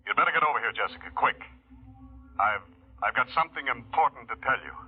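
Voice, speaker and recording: An actor playing an ambitious, lovestruck male employee in an old radio drama, speaking earnestly with halting, wry turns. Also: mid-range voice, expressive, natural voice. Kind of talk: 1940s radio acting. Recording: tinny old radio broadcast.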